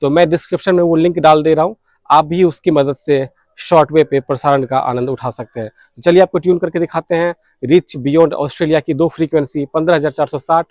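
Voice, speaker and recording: A man's voice: a little high, fairly even intonation, a little fast, a little mellow, no room sound, studio quality. A man narrating informally as a vlog host, explaining things step by step. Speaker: shortwave radio vlogger, host.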